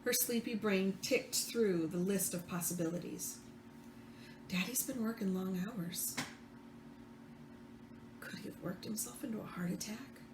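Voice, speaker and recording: A woman reading fiction aloud as the author, expressive, with the odd stumble. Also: mid-range voice, a little slow, pauses often. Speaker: author reading own book. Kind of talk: read-aloud fiction narration. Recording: roomy echo, faint background noise.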